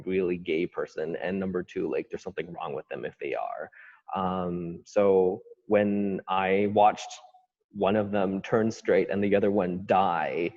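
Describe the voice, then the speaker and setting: A man with a notably deep voice talks through his upbringing in a halting, reflective way, often stopping to search for words. The sound is dull and carries a long reverb. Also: darkish tone. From Canada. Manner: hesitant, thoughtful, many fillers. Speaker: author guest. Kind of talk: podcast interview.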